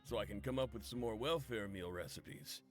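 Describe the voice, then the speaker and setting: An actor playing an upbeat, polite cook who serves a prison cafeteria. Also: male voice, on the low side, moderate pace, faint music behind, natural voice. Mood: cheerful. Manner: polite and upbeat, serving staff.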